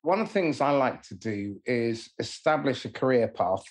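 A man with a husky, mid-pitched voice hosting an interview podcast. He asks curious, probing questions and laughs easily. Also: lively intonation, moderate pace. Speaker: podcast host.

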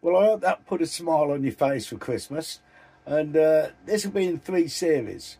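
A man in his sixties chatting casually to camera as a vlogger, with unhurried, hesitant phrasing. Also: a little high, animated, dark tone, breathy edge. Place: small van interior at night.

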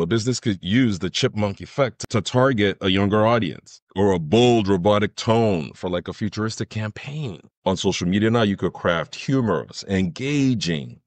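A middle-aged man explaining software in an enthusiastic, conversational tutorial style. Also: on the low side, no echo. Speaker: tutorial host.

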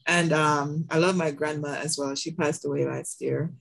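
A woman's voice: low-pitched, fairly even intonation, vocal fry. A woman speaking on a video call, giving a rambling, heartfelt comment with many ums. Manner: slow, rambling, with many ums and soft laughs. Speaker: audience member asking a question. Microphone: video call mic.

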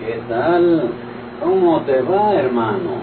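A man's voice: on the high side, expressive, slow pace, phone-quality sound. An actor playing a hearty, hot-tempered country man in an old radio drama, with a Mexican accent and emphatic, colloquial speech. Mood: cheerful, eager. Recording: noisy, band-limited old radio recording.

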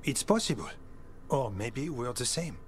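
A man's voice: medium pitch, expressive, measured pace, slight background noise, lightly acted. Earnest young elf warden, played by a man. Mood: thoughtful. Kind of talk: video game cutscene acting.

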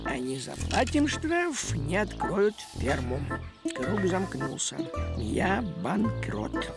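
An actor voicing a thrifty, self-important cartoon tomcat who talks in a theatrical, indignant drawl. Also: male voice, high-pitched, very animated, slow, vocal fry, over loud music, full character voice. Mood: mournful. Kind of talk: cartoon voice acting.

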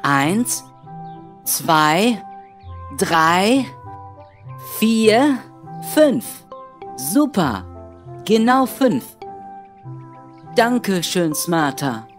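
Slow, swooping little cartoon boy. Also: lowish voice, big pitch swings, faint music behind, heavily acted. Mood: pleased. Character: little boy, unsure and earnest, cartoon friend. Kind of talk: children's educational cartoon dub.

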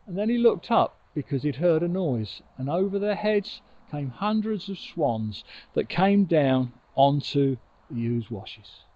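An older man telling a children's story in an expressive, conversational way, recorded outdoors on a lapel mic. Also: fairly high pitch, measured pace, muffled recording. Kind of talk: informal oral storytelling for children. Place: outdoors on a grassy riverbank. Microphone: lapel mic with furry windshield.

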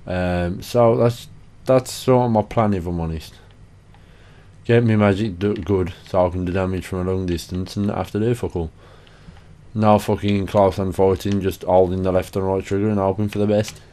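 A young man commentating casually over his game. He is tired and a little hoarse from a cough, chatty and sweary. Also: low voice, steady tone, normal speed, mellow. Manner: sweary, chatty, self-deprecating. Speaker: gaming channel host.

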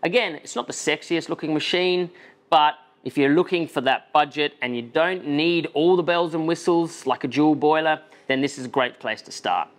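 Lively Australian man giving frank advice. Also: fairly high pitch, moderate pace. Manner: animated, talks with his hands, frank opinions. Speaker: coffee reviewer. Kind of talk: casual to-camera product advice. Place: indoor studio set with shelves and plants.

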